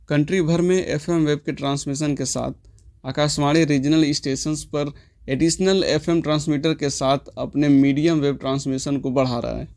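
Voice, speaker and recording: Soft-toned man narrating an explainer. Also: on the high side. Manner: informational, mixes English terms into speech. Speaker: host of a tech history explainer channel.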